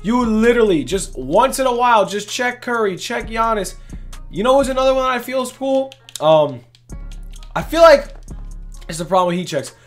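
A young man with a voice going hoarse, hosting a casual gaming video in hyped, rambling talk full of "literally" and "bro". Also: extremely high pitch, animated, normal speed, faint music behind. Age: young adult. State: losing his voice. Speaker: gaming channel host. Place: indoors at a gaming setup, face cam.

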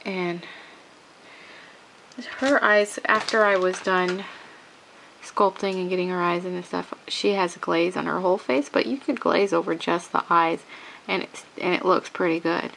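A sniffly woman shows her craft work at a home table, and her slow voice has a gravelly edge. She rambles and restarts often, with a faint hiss under the camera mic. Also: lowish voice, a bit bright, low-quality recording. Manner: rambling, halting, with many ums and restarts. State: sniffly with a cold. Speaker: craft tutorial maker. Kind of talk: casual hands-on tutorial.

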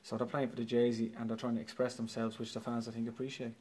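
A quick-talking Irish man in his forties answers post-match questions into a handheld mic. His delivery is flat and even, matter-of-fact and repetitive, frustrated yet upbeat about his team. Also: medium pitch, slight background noise. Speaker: football manager. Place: clubhouse trophy room, indoors. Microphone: handheld mic held by interviewer.

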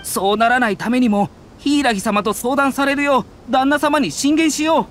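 A man's voice: very high voice, moderate pace, a little hiss or hum. A man playing a worried, exasperated retainer in an anime game, grumbling in plain informal speech.

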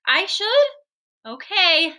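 Woman voicing a high-pitched, hesitant storybook hippo. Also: expressive, slow pace, bright, forceful tone, full character voice. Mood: anxious, obliging. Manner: hesitant, questioning, exclaiming. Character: timid, eager-to-please young hippo in a storybook.

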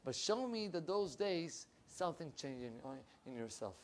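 A young man, a rabbi giving an animated Torah class, his voice swinging between loud bursts and low asides. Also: normal speed, slight background noise. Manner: animated, with big swings in pitch and loudness and lively hand gestures. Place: wood-panelled room with bookshelves. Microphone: lapel.

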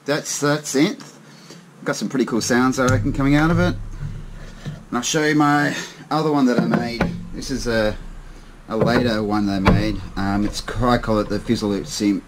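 A man's voice: medium pitch, steady tone, normal speed, music in the background. A man in a casual, laid-back hands-on demo, speaking informally and a bit hesitantly as a hobbyist maker. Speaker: hobbyist maker demonstrating homemade synths. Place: indoors at a wooden tabletop.

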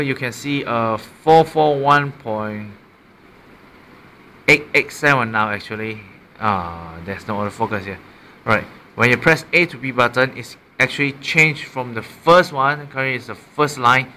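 Halting Malaysian man, bright voice. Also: mid-range voice, slight background noise. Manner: halting, often repeating and correcting himself. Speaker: amateur product reviewer. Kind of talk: casual unscripted gadget demonstration. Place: small room indoors at a desk. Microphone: built-in mic of a handheld camera.

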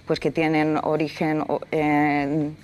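A woman's voice: sits low, monotone, slow, a little mellow. A woman in her forties from Castilla-La Mancha, a guest in a TV studio interview, explaining earnestly with many fillers. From Castilla-La Mancha, Spain. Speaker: environmental activist guest. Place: TV studio set, leather armchair.

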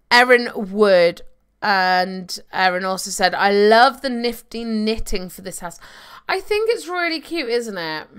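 Slow-talking, chatty young woman. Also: mid-range voice, fairly bright tone, smooth. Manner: chatty, reads out viewer comments. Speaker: gaming streamer. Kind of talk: casual live-stream chat while playing. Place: home room at a desk. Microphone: desk microphone on a webcam stream.

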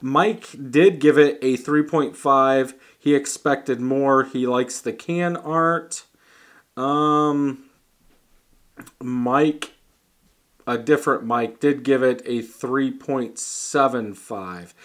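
A man in his thirties giving a casual beer tasting review at a home bar, thinking aloud with halting restarts. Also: medium pitch, a bit bright. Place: home bar in front of a banner.

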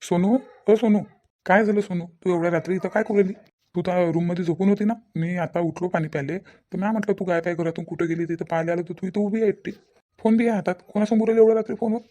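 An exasperated village husband from a cartoon family drama, acted by a man, high for a male voice yet mellow in tone. He talks fast in colloquial Vidarbha Marathi, piling up questions and grumbling. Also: steady tone, lightly acted. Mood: puzzled, questioning.

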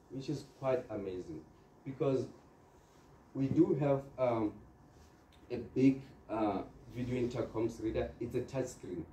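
A man leads an informal product training session in an explanatory way. His voice is very dark and sits back from the microphone in a reverberant room. There is faint noise behind him as he talks through practical examples. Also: medium pitch. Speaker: trainer presenting security products to installers. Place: indoor presentation room with large screen. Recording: dark, echoey, distant room recording with noise.

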